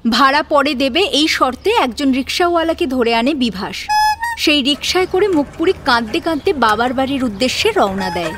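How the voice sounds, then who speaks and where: A woman's voice: high-pitched, lively intonation, normal speed, a bit bright, soft background music. A woman narrating a dramatic audio story with emotive delivery.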